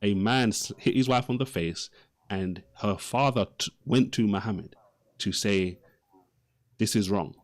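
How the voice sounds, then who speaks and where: A man with a fairly low voice in a live religious debate. He interrogates persistently, circling back to the same question and pressing for a direct answer. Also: a little slow.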